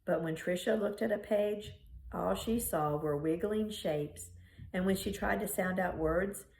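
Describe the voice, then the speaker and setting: A woman reading a children's picture book aloud in an expressive storytelling style. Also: medium pitch, measured pace, gravelly. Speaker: storybook reader. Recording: roomy, echoing room sound.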